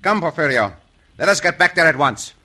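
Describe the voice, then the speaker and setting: Man playing a bold, high-pitched Mexican adventurer hero. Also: animated, brisk, close to an everyday voice. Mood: courtly, firm. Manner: formal, crisp commands. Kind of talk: old-time radio western acting. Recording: noisy old radio recording.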